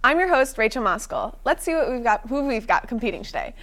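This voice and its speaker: A young woman with a slightly high voice hosts a student-made quiz show in a college TV studio. She talks in an upbeat way but stumbles now and then, with ums, uhs and restarts as she explains the rules. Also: big pitch swings, fairly quick, fairly bright tone. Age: young adult. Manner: upbeat, with frequent fillers and restarts. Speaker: game show host.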